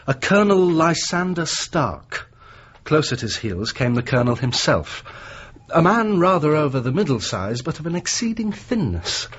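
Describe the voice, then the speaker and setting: An actor playing an earnest young Victorian engineer shaken by an ordeal, speaking formal, polite, articulate English-accented lines in a radio drama. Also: male voice, a little high, very animated, normal speed, a bit bright, breathy edge, muffled recording, full character voice. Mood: formal, guarded.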